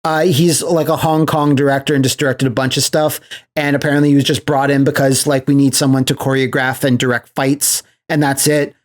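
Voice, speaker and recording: A man co-hosting a podcast, casually discussing movies in a halting way, often repeating phrases as he explains. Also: mid-range voice, flat delivery. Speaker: podcast co-host.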